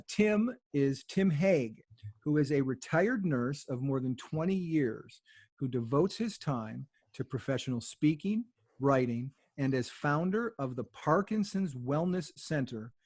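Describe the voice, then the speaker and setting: Podcast co-host, man, mid-pitched, upbeat and chatty, talks to listeners. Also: animated, a little slow, a little mellow. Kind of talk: podcast introduction.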